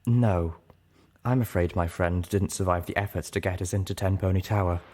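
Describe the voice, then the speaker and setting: A man's voice: low voice, normal speed, darkish tone, slight background noise, close to an everyday voice. A man voicing a worldly young pony mare who explains things frankly and matter-of-factly in an audiobook. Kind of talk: audiobook character dialogue.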